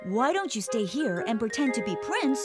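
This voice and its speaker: A woman voicing a proud young boy prince. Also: moderate pace. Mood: curious. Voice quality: high, lively boyish voice. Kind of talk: animated storybook dub. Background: music.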